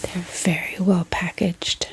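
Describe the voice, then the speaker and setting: A woman hosting an ASMR channel, speaking in a gentle, hushed whisper with pauses. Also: on the low side, flat delivery, poor audio. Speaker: ASMR book channel host. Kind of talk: soft-spoken whispered ASMR.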